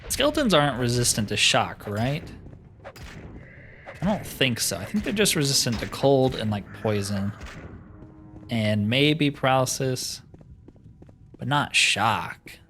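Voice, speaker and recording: A man's voice: mid-range voice, very animated, slow, pauses often, a bit bright, a little hiss or hum. A man giving casual let's play commentary, musing and asking himself questions as he goes. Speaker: host of a gaming roleplay series. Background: music behind the voice.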